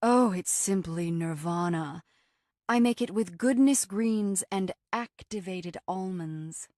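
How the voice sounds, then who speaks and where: A woman narrator plays a humorless, purist health-food woman in a storybook reading. She speaks slowly and preciously, with airy earnestness and a haughty edge. The sound is close and dry. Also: on the low side, darkish tone, no echo. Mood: airy, earnest. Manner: haughty, precious, drawn-out phrasing.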